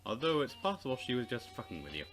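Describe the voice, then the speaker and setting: A man's voice: mid-range voice, big pitch swings, quick pace, a bit bright. A man casually narrating a game and bantering with a co-host. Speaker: let's player. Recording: game music behind the voice. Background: game music.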